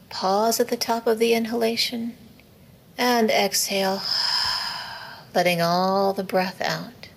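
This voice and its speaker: A woman teaching a guided meditation in a soothing, measured, instructive way, with soft, breathy phrase endings. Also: medium pitch, rough recording. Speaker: yoga nidra meditation teacher. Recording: faint background noise.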